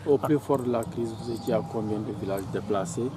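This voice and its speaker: A man works as a reporter, putting questions at a quick pace. His pitch is average, and clear outdoor noise surrounds his voice. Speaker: interviewer, reporter. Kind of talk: journalistic questioning.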